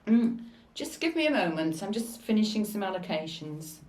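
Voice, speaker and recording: An actress playing a formal, authoritative hospital matron with a brisk, questioning manner in a scripted drama. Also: female voice, medium pitch, big pitch swings, a little mellow, natural voice. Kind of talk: scripted stage-drama acting. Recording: distant mic in echoey room.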